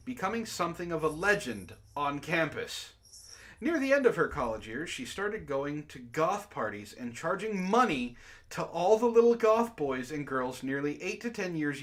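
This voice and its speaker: Guy hosting a book club stream, highish voice that swings all over, exasperated jokey asides. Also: fairly bright tone. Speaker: host reading a book aloud for a livestream book club. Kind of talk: read-aloud mixed with casual jokey banter.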